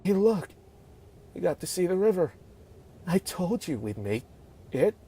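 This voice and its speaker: Man acting a mellow, regretful dying boy. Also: high-pitched, sing-song, breathy edge, heavily acted. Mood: fading, wistful. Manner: pained, halting delivery. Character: dying, regretful teenage boy confessing tenderly. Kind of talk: amateur dramatic script read. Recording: noisy home microphone recording.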